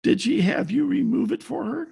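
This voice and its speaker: A wry, hardboiled gumshoe, played by a man in a radio detective play. The voice is rough with heavy fry, pitched high and swinging widely, with blunt, clipped lines recorded at home over a video call. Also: lively intonation, moderate pace, mellow, full character voice. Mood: impatient, blunt. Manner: clipped, blunt, wisecracking noir delivery. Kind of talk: old-time radio detective acting and narration.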